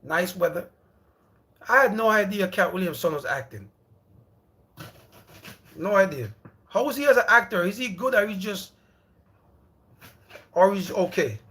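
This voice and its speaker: A man's voice: sits high, animated, unhurried, pauses often, fairly bright tone. Chatty man hosting a livestream. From Jamaica. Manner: loose, rambling, repeats himself, calls out to viewers as brother and bro. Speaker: livestream host. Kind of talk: casual livestream chat with viewers.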